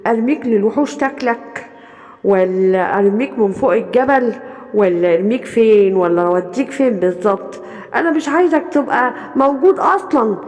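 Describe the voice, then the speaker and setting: A woman voicing a scheming male lion villain in an Egyptian Arabic accent, slow and menacing. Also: fairly high pitch, animated, darkish tone, soft background music, natural voice. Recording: long hall reverb.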